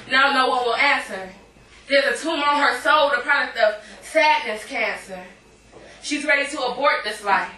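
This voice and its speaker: A woman's voice: sits high, bright, forceful tone, a little hiss or hum. A woman poet performing a live spoken-word reading of verse. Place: indoor cafe poetry night. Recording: echoey live room, distant microphone.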